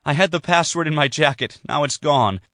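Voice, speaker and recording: A man's voice: on the high side, brisk, bright, forceful tone, no room sound, studio quality, voice pushed up. A man reading aloud, voicing a frantic young woman on the run in a tense, urgent way.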